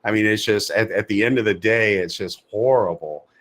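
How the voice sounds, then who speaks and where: A man's voice: lowish voice, moderate pace, fairly bright tone. A man co-hosting a podcast, giving blunt, sardonic opinions in a casual style. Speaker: podcast co-host.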